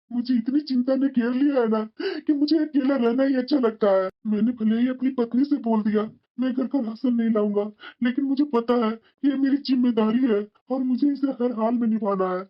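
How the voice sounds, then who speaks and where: A woman's voice: on the high side, a little fast, dull audio, natural voice. A woman voicing a resentful, weary husband and father in a cartoon family drama, with a complaining manner. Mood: brooding, regretful. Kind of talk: Hindi moral-story cartoon dialogue.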